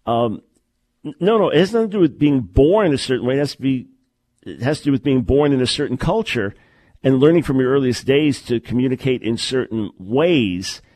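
A man hosts a call-in radio show, pressing his points with earnest emphasis and doubled words. His voice sits at a middling pitch and comes through close and dry, with no room echo. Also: animated, a little fast, a little mellow. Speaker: radio talk show host.

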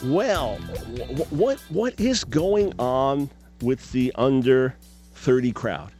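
A man in his fifties hosts a radio talk show at an ordinary pitch, pressing his points hard and firing off rhetorical questions. Faint music sits under his voice. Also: expressive, slow pace, darkish tone. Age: 50s. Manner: emphatic, full of rhetorical questions.

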